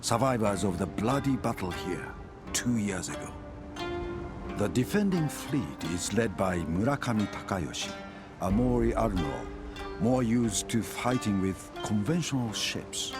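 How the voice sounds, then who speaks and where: A man narrating samurai wars for a video game cutscene as a grave chronicler, in measured, dramatic storytelling. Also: lowish voice, animated. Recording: music under the narration. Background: cinematic music.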